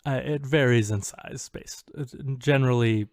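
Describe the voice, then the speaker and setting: A man co-hosting a podcast in casual chat, halting and tentative as he thinks aloud. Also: mid-range voice, darkish tone, no room sound, clean studio sound. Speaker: podcast co-host.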